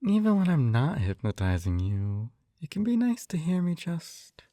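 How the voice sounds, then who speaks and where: A man playing a possessive, coaxing hypnotist captor in an intimate ASMR roleplay, with a soft velvety voice and teasing manner. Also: medium pitch, no room sound, clean studio sound, exaggerated voice. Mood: soothing, coaxing. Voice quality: soft, velvety, breathy whispers at times. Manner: slow coaxing, teasing tag questions.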